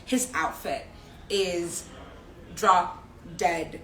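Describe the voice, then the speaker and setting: A woman's voice: fairly low pitch, steady tone, extremely slow, sharp tone, slight background noise. A young woman hosting a casual interview, affirming her guest and speaking with emphasis. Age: young adult. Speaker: live series host, interviewer. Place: indoor room, live social media stream. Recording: echoey room with people murmuring behind.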